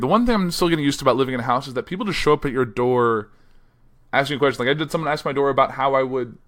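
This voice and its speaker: A man hosting a solo news podcast. He reads news quotes aloud and chats loosely between them, and his voice is going hoarse from a stressful week. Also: medium pitch. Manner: reads quotes in a flat newsy voice, then chats loosely with fillers. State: losing his voice. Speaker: podcast host. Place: house.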